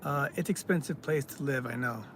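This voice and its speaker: A man from California, hosting a casual live stream, reading out viewer comments and answering them in a halting way with many fillers. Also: medium pitch, a little hiss or hum. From California, United States.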